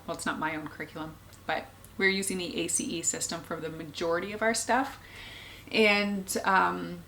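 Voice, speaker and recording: A woman's voice: mid-range voice, a bit bright, a little hiss or hum. Earnest mother talking to camera. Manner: earnest, thinking aloud with frequent ums. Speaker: homeschooling mother. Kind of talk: casual talk to camera.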